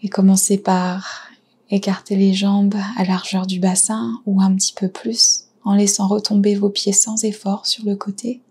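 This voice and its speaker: A woman guiding a yoga nidra relaxation, speaking softly and soothingly with pauses between short phrases. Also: medium pitch, level tone. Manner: soft, breathy, soothing delivery with pauses. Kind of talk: guided relaxation meditation.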